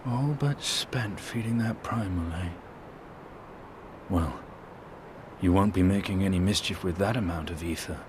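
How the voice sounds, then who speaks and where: An actor voicing a grim, sardonic lancer warrior in a video game, with a terse, dry, measured delivery. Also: male voice, low-pitched, noisy background. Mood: dry menace. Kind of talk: dramatic video game voice acting.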